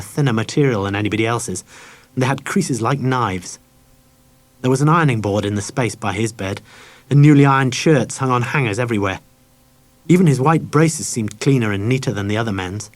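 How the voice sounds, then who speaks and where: A man narrating a children's novel as a scripted audiobook. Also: mid-range voice.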